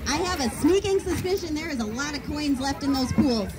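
High-pitched woman calling out encouragement to kids on a noisy field. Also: expressive, a little fast. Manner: encouraging, upbeat, loud to be heard. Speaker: event organizer running a kids' game. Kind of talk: instructing children at an outdoor event. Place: outdoor park field with a crowd of children. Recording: crowd and outdoor noise, phone camera. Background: crowd of children and outdoor noise.

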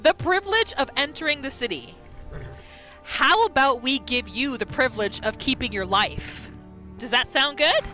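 A woman's voice: sits high, animated, moderate pace, pushed and bright. A woman giving reactive gameplay commentary on a roleplay, full of exclamations and rhetorical questions. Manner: exclaims, asks rhetorical questions, speaks for the character. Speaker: gamer narrating a roleplay playthrough. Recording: game music behind the voice. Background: video game music and sounds.

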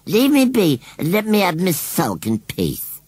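Grumbling, drawling rustic scarecrow. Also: male voice, sits high, huge pitch swings, a little mellow, slightly rough, no echo, voice pushed up, heavily acted. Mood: sulky. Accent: rural English. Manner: grumbling, drawn-out, indignant rustic speech. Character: sulky, proud old rustic male scarecrow.

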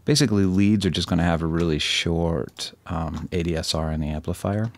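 A man presenting a casual screen-recorded software tutorial, thinking aloud in an informal, self-deprecating way. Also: sits low, fairly even intonation, brisk.

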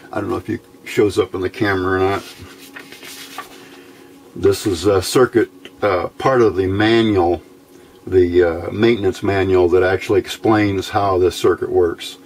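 A man giving an informal, unscripted hands-on tutorial in a plain-spoken, halting way, recorded indoors at a workbench on a camera mic. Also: deep voice, a little hiss or hum. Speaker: host of a hands-on electronics tutorial.

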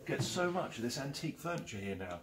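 A man's voice: medium pitch, sing-song, fast talker. A man in his forties with an English accent, an auctioneer chatting enthusiastically as he walks a camera round a large cluttered saleroom. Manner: chatty and enthusiastic, chuckling often. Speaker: auctioneer giving a saleroom tour. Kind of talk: casual walk-through commentary. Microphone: handheld camera following him. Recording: noisy saleroom, walking camera mic.

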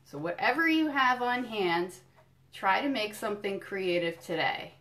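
A woman doing a children's storytime, reading a picture book in deliberate phrases and explaining things simply to young listeners. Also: mid-range voice, lively intonation, fairly bright tone. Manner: addresses young listeners directly with questions and encouragement. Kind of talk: children's storytime read-aloud with friendly asides.

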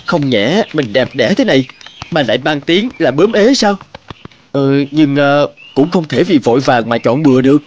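A man voicing a vain, picky male butterfly in a cartoon, boastful and pompous with theatrical flattery. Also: medium pitch, normal speed, dull audio, slight background noise, close to an everyday voice. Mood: smug musing. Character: vain, picky male butterfly bachelor seeking a flower bride. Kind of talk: cartoon character acting.

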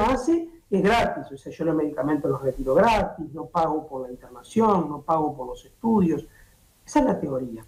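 A man's voice: high-pitched, darkish tone. A middle-aged Uruguayan man, a doctor, explaining at length as a guest over a video call, with many restarts and fillers. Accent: Uruguayan Spanish. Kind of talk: interview on a streaming show. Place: indoor home office. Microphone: laptop or webcam on a video call. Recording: echoey video-call audio.

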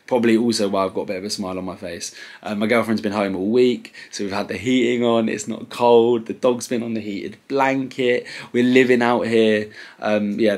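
A young British man in his twenties vlogging casually from his living room sofa, relaxed and rambling with many ums. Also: fairly low pitch. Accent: British English. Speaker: clothing brand owner vlogging. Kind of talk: casual to-camera vlog. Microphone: camera close at sofa level.

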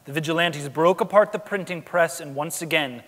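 Young male stage narrator, high voice, scripted dramatic delivery, long hall reverb. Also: normal speed, fairly bright tone. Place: large theatre stage. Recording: echoing theatre stage.

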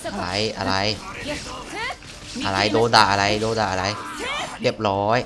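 A man's voice: on the low side, flat delivery, unhurried, over music. A man giving casual, slangy, exclamatory gameplay commentary, often talking to himself as he plays. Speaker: gaming video host playing through a game.

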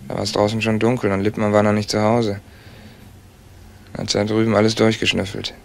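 A man's voice: on the low side, completely flat delivery, a little fast, creaky. An actor playing a streetwise young man in a German crime radio play, blunt, gossipy and out for money, in clipped colloquial phrases. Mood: gossipy, conspiratorial. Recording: noisy old recording with clicks and clatter.

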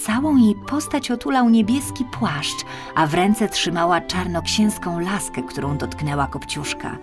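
A woman reads a children's fairy tale expressively. Her voice sits a little low, and music plays behind the narration. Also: lively intonation, unhurried. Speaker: audiobook narrator.

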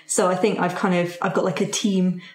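A woman's voice: lowish voice, flat delivery, fairly quick, darkish tone. A woman player casually improvising a story in a tabletop roleplaying game. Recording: echoey room.

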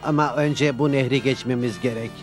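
A man's voice: medium pitch, fairly even intonation, brisk, faint music behind, lightly acted. A man playing a weary, meek pioneer husband in a dubbed cartoon, mild and appeasing as he reasons with his wife. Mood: earnest. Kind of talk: cartoon dub acting.

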